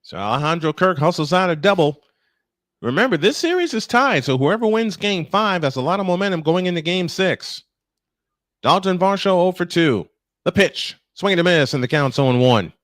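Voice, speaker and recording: Guy doing live baseball play by play, clipped announcer phrasing, really dry sound, chats casually between pitches. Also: very animated, moderate pace, a bit bright, no room sound.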